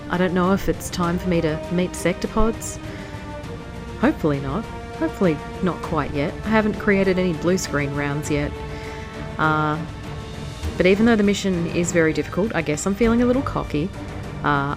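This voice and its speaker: A woman with a stuffy, nasal voice from hay fever, chatting casually as she plays through a strategy game. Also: fairly low pitch. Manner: chatty, easily distracted. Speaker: let's play host. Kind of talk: casual gameplay commentary.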